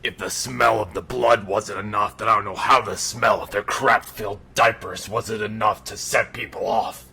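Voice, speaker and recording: A man voicing an irritable, sardonic undead killer in an animatronic suit, telling a bedtime story in a deadpan, exasperated growl. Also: lowish voice, moderate pace, hardly any pauses, exaggerated voice. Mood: sardonic, ranting. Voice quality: gravelly, breathy growl. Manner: deadpan dark humor, exasperated. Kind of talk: in-character bedtime storytelling roleplay. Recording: noticeable background noise.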